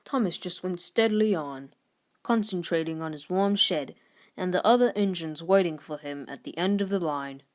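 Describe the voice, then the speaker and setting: A woman reads a children's story aloud in an expressive scripted narration style. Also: lowish voice, moderate pace. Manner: expressive, lifts voice for character dialogue. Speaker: storybook reader.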